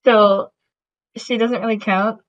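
Slow-talking woman, rambling with fillers. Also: fairly high pitch, steady tone. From Latin American heritage. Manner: rambling, many fillers and restarts. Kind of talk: casual conversation. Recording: dull lo-fi audio.

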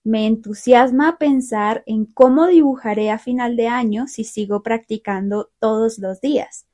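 A young woman hosting a learner podcast, talking through a calm, clear, scripted monologue. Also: fairly high pitch, measured pace. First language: Spanish. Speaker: podcast host.

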